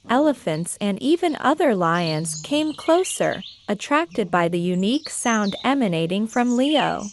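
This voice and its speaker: High-voiced woman narrating a children's story. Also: a little hiss or hum. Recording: faint insects and birdsong behind. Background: crickets, birds, nature ambience.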